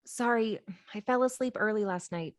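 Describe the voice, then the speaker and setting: A woman's voice: mid-range voice, normal speed, no room sound, studio quality, close to an everyday voice. A woman playing an eager, uneasy young woman in a script table read, casual and apologetic. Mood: sheepish.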